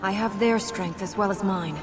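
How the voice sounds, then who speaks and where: Woman as a resolute young princess, loud music behind. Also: medium pitch, moderate pace, lightly acted. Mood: solemn, determined. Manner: earnest, terse, dryly reproving. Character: serious, resolute young princess of magical lineage. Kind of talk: video game cutscene voice acting. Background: loud game score.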